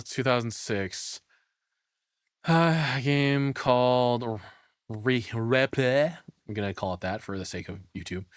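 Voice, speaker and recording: Man, casual streamer commentary, medium pitch, dry sound, rambling, opinionated, sweary. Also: no echo. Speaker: streamer reacting to a video.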